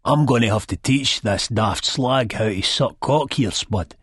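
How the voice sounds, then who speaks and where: A man's voice: mid-range voice, a little slow, fairly bright tone, slightly rough, dry recording, clean studio sound, voice pushed up. A man playing a foul-mouthed young Scottish thug in an audiobook, with a snapping, bullying, sneering manner. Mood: cocky.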